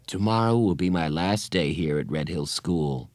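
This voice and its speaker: A man voicing a kind, patient female school counselor in an audiobook, with a gentle, earnest, reassuring manner. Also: low-pitched, lively intonation, normal speed, no room sound, clean studio sound, voice pushed down. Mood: gently serious.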